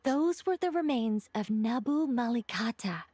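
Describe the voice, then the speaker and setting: Game role: poised, enigmatic woman, higher register, deliberate and courteous, close dry studio sound. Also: lively intonation, dark tone, slightly rough, no room sound. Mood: explanatory. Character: calm, knowing, mysterious woman who reveals secrets. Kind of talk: video game voice acting, measured explanation.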